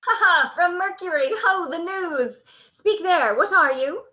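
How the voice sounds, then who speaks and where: A woman playing a torn male commander, declaiming gravely in a Shakespearean radio play. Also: very high-pitched, expressive, unhurried, bright, forceful tone, highs cut off, exaggerated voice. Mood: urgent, commanding. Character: Antony, grown male commander torn between love and duty.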